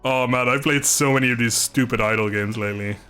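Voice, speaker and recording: A man's voice: mid-range voice, fairly even intonation, fast talker, pushed and bright, clear, resonant tone. A man giving casual, rambling, self-deprecating commentary as he livestreams a video game. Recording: music playing behind the voice.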